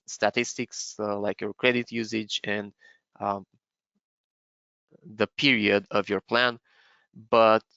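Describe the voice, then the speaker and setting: A man from Romania, presenting a live software webinar and explaining features step by step with frequent fillers and restarts. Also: on the low side, vocal fry, clean tone, no echo, dull audio. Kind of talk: live webinar product demo.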